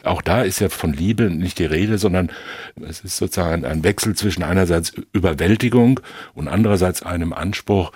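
A man talking analytically as a legal expert in a discussion, halting and deliberate, in long winding sentences. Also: deep voice, darkish tone, breathy edge, no echo.

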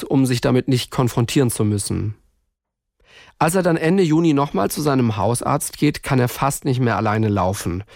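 A man's voice: mid-range voice, a little mellow, no echo, clean studio sound. A man reading scripted true-crime narration as a podcast host.

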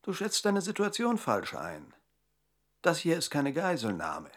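A man's voice: fairly high pitch, wildly swooping pitch, measured pace, fairly bright tone, exaggerated voice. A man playing a cold, controlled kidnapper who interrogates with curt menace in a German radio drama.

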